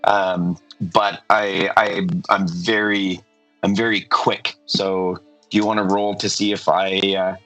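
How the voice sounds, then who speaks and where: A man, a player in a tabletop game, describing what his character does, thinking aloud with many pauses and fillers. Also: sits low, little pitch movement, a bit bright, dry recording, soft background music, poor audio.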